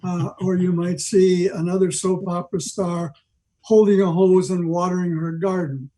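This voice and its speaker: Older guy with a high soft-toned voice, rambling through a history talk on a video call. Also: fairly even intonation, normal speed, poor audio. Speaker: club member giving a presentation. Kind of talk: informal talk on old radio history. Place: video meeting from home.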